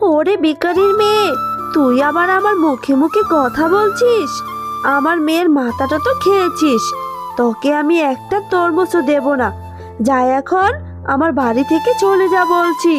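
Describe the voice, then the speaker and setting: Haughty, shrill-pitched tigress mother voiced by a woman. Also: lively intonation, normal speed, over music. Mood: scornful, angry. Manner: scornful, bossy. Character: haughty, spiteful rich tigress mother, scolding. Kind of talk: Bengali fairytale cartoon dubbing.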